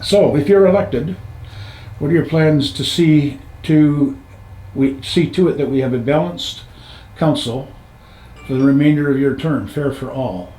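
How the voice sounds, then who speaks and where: A man's voice: a little high, a little slow, dark tone. A man hosting an informal interview, with a folksy, easygoing way of phrasing questions. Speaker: interviewer, show host. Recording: echoey room.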